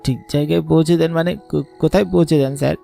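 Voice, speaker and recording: A man's voice: on the high side, fairly even intonation, racing, creaky, dark tone. A man voicing a hesitant, deferential village schoolboy in a horror cartoon. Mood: hesitant, respectful. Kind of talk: dubbed horror cartoon dialogue. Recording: music under the voice.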